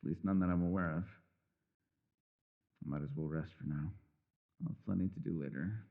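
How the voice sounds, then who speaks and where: Man playing a low-voiced, musing, wry elf mage. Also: fairly quick, phone-quality sound. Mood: brooding, wry. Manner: musing, wry, formal old-fashioned phrasing. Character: mysterious male elf mage, wry, patient mentor. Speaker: voice actor. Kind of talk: fantasy ASMR roleplay acting.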